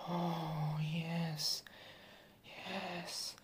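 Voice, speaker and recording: A man playing an adult male lover in intimate ASMR roleplay, breathy and half-whispered. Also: fairly high pitch, slight background noise, pitched above the performer's own voice. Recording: very roomy, echoing sound.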